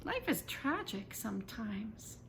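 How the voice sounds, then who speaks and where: A woman reading a first-person children's story aloud in an expressive, dramatic and emphatic way. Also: mid-range voice, slow. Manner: animated, dramatic, emphatic delivery.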